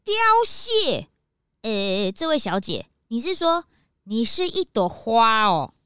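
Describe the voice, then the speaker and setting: A woman's voice: fairly high pitch, sing-song, slow, fairly bright tone, clean tone, dry recording, clean studio sound, heavily acted. A woman voicing an eager, innocent young sidekick in a children's audio drama, earnest and blurting. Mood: curious.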